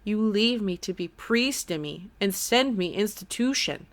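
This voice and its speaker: A woman's voice: mid-range voice, expressive, measured pace, voice pushed up. A woman voicing an elderly mother who pleads tearfully in broken English. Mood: reproachful.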